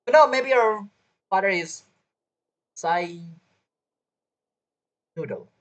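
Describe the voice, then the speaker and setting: A game streamer with a lively, swinging voice gives casual commentary. They joke and tease, talking back to the characters. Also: medium pitch, slow pace. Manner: joking, teasing, talks back to characters.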